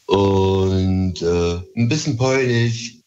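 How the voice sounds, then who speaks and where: Slow, nervous low-voiced man on a phone line. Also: a little mellow, slight background noise. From Berlin, Germany. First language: Turkish. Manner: hesitant, nervous, halting. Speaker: caller. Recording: dull phone-line call.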